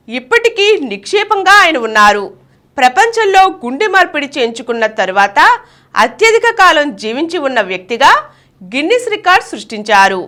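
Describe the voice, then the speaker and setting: A woman news reader delivers scripted bulletins. Her voice sits high and has a bright, forceful edge. Her intonation is animated, rising and falling widely through the copy.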